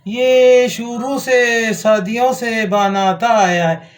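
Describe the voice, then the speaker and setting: A man's voice: very high-pitched, fairly even intonation, very slow. A middle-aged man, hosting a home cooking video in a folksy, rambling way, full of homely advice and blessings. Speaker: cooking channel host. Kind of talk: casual home cooking vlog.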